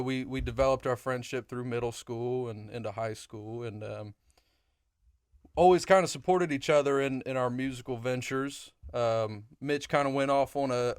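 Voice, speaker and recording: A young man hosts a podcast in easy, profane chat that jumps into loud excitement. His voice is smooth and clean, at a middle pitch. The studio mic sound is close and dry. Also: dry recording. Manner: energetic, swearing, bursts into loud laughter. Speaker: podcast co-host. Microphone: studio microphone.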